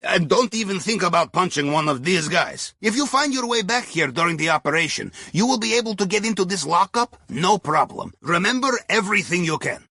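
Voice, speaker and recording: A man's voice: high voice, expressive, fairly quick, hardly any pauses, sharp tone, breathy edge, dry recording, clean studio sound, exaggerated voice. A man playing an eccentric, cheerful Russian submariner in a video game, guiding a heist with wry, conspiratorial chatter and quaint non-native phrasing. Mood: conspiratorial, instructive. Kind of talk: video game character voice lines, mission guidance over comms.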